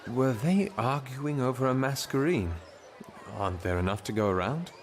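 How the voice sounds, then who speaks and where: An actor playing a wry, world-weary exile in a video game, speaking in a dry, understated way. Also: male voice, mid-range voice, normal speed, slight background noise, close to an everyday voice. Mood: curious, subdued. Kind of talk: scripted video game acting. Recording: game music behind the voice. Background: music.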